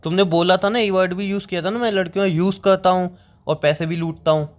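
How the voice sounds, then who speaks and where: A man's voice: sits high, steady tone, quick pace, close to an everyday voice. A young man playing a wronged friend in a phone-call drama, defending himself in an earnest, insistent way. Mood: defensive, hurt.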